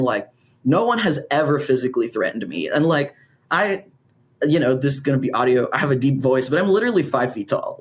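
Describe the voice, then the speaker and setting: A man speaking as a guest in a podcast interview, rambling with many fillers and frequent swearing. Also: medium pitch. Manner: rambling, heavy use of "like", swears freely. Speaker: community organizer guest.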